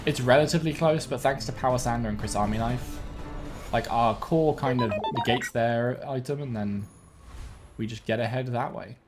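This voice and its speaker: A young man streaming a card game from home, thinking aloud in a relaxed way and correcting himself, sounding a bit sniffly and bunged up. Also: medium pitch, normal speed. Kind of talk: gameplay commentary. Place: home room with webcam. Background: faint game music.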